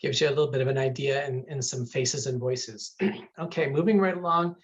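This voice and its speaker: A man's voice: medium pitch, brisk, lo-fi sound. A man presenting a university webinar over a video call, chatty and upbeat with some fillers.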